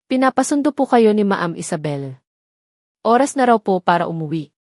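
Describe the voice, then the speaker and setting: A woman's voice: medium pitch, sing-song, moderate pace, darkish tone, no room sound, clean studio sound. Woman voicing stern, emotionless male henchman. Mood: stern, formal. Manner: hard, formal, unemotional.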